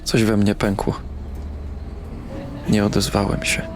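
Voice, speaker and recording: An actor playing a bitter, brooding young man in an audio drama, narrating and speaking in a weary, terse deadpan. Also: lowish voice, takes it slowly, over music. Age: young adult. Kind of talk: audio drama first-person narration and dialogue.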